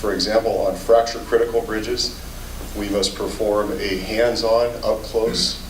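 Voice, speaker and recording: A hoarse, fairly low-voiced man works through a technical talk at a public meeting, pausing on filler sounds as he goes. He is caught from a distance in an echoey hall with a faint hiss underneath. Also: level tone. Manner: measured, technical, with frequent "uh" fillers. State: hoarse from a cold. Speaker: state bridge inspection office chief.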